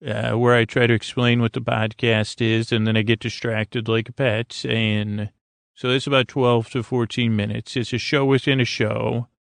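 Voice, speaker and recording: A man with a very creaky, gravelly voice, recorded close and dry. He speaks as a podcast host lulling listeners to sleep, hesitating, stammering and drifting off into soothing, aimless tangents. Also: mid-range voice, little pitch movement, normal speed, dry recording. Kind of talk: rambling, meandering bedtime monologue to help listeners sleep.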